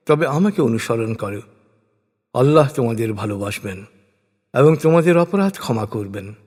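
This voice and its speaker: A man reading scripture in a solemn, reverent scripted narration, pausing deliberately between phrases. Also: mid-range voice, darkish tone. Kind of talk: solemn scripted religious recitation in prose. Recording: long reverberant hall-like echo.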